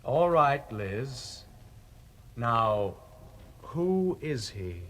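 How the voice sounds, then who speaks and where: An actor playing a desperate, greedy brother in a radio drama, pleading and insisting urgently. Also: male voice, medium pitch, very animated, drawn-out words, fairly bright tone, heavily acted.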